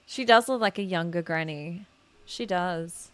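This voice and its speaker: A woman's voice: lowish voice, unhurried, no echo. A woman livestreaming a game on a close mic, reacting casually with gushing, affectionate exclamations as she chats to viewers. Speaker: game streamer. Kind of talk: casual live playthrough reactions. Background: faint music.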